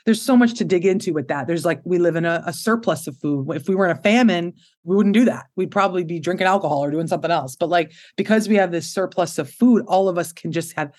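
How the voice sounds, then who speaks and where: Woman, 30s, low voice; expressive, candid interview guest who laughs easily. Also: quick pace, few pauses. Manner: expressive, open, laughs often. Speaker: podcast guest.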